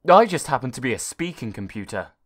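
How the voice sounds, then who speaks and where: A man's voice: medium pitch, big pitch swings, quick pace. A man voicing a stern, bossy talking computer. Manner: bossy, warning.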